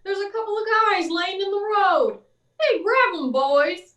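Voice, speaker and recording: A woman playing an excitable police officer in 1940s radio serial style. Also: very high-pitched, a little slow, exaggerated voice. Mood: excited. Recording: echoey room, distant from mic.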